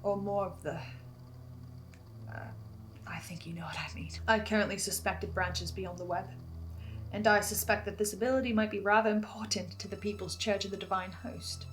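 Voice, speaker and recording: A woman's voice: mid-range voice, steady tone, moderate pace, rough recording. A woman narrating a scripted analysis as host, speaking earnestly and theatrically with precise wording. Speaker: host of a fan theory video series.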